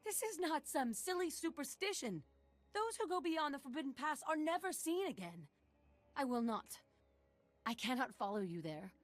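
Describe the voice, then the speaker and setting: A woman's voice: high-pitched, very animated, normal speed. A woman playing a fearful, deferential desert guide in a video game, speaking in an earnest, formal and dramatic way. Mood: alarmed, fearful.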